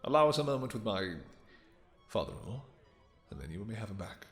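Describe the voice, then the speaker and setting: A man's voice: lowish voice, very animated, fairly quick, soft background music, pitched above the performer's own voice. A man voicing a doting, possessive, brooding vampire king who speaks in formal, archaic, theatrical phrases in a romantic fantasy audio roleplay. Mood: haughty, menacing.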